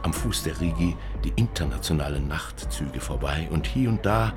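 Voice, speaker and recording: Very deep-voiced man reading literary prose. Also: lively intonation, moderate pace, over music. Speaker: narrator reading a literary text. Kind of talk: scripted literary narration.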